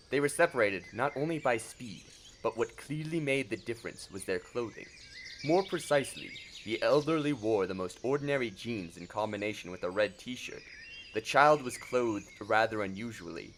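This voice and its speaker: Male narrator, fairly low voice, scripted storytelling, faint background ambience. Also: fairly bright tone, slight background noise.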